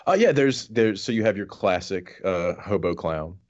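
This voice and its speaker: A man with a very deep voice talks casually on a comedy podcast. He explains silly things as if they were perfectly serious. The sound is a little dull. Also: lively intonation, normal speed, darkish tone. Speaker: podcast co-host.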